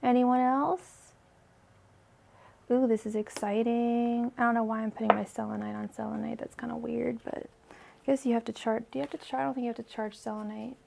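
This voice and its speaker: Young woman, tarot livestream host, casual chat, fry in the voice, weak-sounding today. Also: a little high, level tone, brisk, darkish tone, a little hiss or hum. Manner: chatty, filler-heavy, addresses viewers by name. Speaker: tarot reader hosting a livestream.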